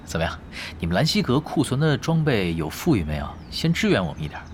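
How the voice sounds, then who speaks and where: A teasing, animated veteran gamer. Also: male voice, lowish voice, quick pace, a little hiss or hum. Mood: nonchalant, needling. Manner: casual, needling, nonchalant. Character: laid-back, teasing, shameless veteran male online gamer and guild leader. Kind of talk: Chinese radio drama acting.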